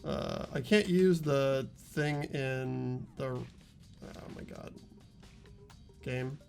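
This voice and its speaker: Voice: medium pitch, extremely slow, vocal fry, darkish tone, faint music behind. A game host giving casual, informal commentary and explaining things to fellow players.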